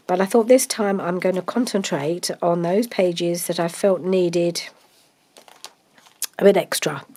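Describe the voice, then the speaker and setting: A woman's voice: on the low side, mellow. A woman, chatting casually about her crafting project, congested from a cold and rambling with many ums. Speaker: craft vlogger.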